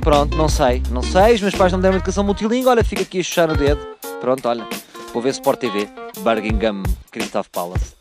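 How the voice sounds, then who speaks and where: A man hosting a comic radio chat, teasing and joking with quick asides. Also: fairly high pitch, expressive, music in the background. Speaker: radio host.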